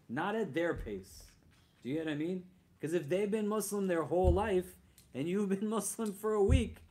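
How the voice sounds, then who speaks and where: A man's voice: fairly high pitch, darkish tone. A man teaching a class for new Muslims in a loose, conversational way, often checking with "do you get what I mean?". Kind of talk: casual spoken class.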